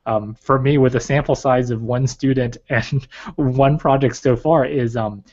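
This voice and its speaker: A man, raised in China with Chinese as his first language, speaks in a middling register as a guest in a relaxed interview. He thinks aloud, rambling through ums and likes. The sound is a bit muffled and lo-fi. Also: mid-range voice, unhurried. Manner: thoughtful, rambling, many fillers. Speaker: guest, research advisor. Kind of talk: casual interview talk. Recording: slightly dull lo-fi recording.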